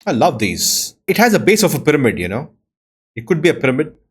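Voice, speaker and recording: A man giving casual let's-play commentary in a husky voice, musing aloud and reading names off the screen. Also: fairly high pitch, very animated, quick pace. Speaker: video game player and host. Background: plucked-string game music.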